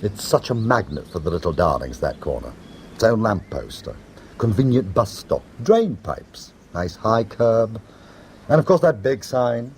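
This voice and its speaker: An actor playing a glib, dryly witty criminal schemer in a radio drama. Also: male voice, fairly low pitch, very animated, unhurried, darkish tone, a little hiss or hum. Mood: glib, evasive. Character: smooth criminal schemer, glib, tender with his woman.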